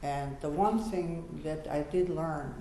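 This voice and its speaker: An elderly woman in her eighties speaks in an earnest, measured way, with a mellow, somewhat dark tone and a fairly high pitch for her voice. She sounds echoey, as if heard across a large lecture hall, with faint room noise behind her. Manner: earnest, measured, gently exhorting. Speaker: Holocaust survivor panelist. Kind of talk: personal testimony at a lecture.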